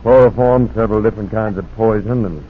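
A man's voice: lowish voice, quick pace, slight background noise, natural voice. An actor playing a grim, folksy rural lawman in a 1940s radio drama. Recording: muffled, narrow-band vintage radio recording.

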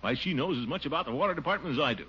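A man's voice: on the high side, expressive, racing, pushed and bright, slightly rough, exaggerated voice. An actor playing a blustering, pompous middle-aged male city official who gives a hearty laugh, in 1940s radio sitcom style. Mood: eager. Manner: jovial, boastful, sneaky excuses. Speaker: Gildersleeve, water commissioner. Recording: muffled old radio broadcast, faint hiss.

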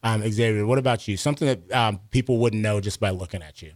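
A man's voice: lowish voice, fast talker. A man hosting a live radio interview from a studio, speaking into a close mic. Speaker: radio show host.

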